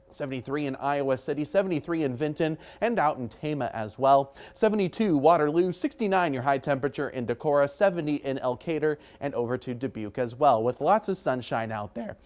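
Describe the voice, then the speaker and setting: A man's voice: mid-range voice, darkish tone. A man presenting an ad-libbed TV weather forecast in a conversational, informal way.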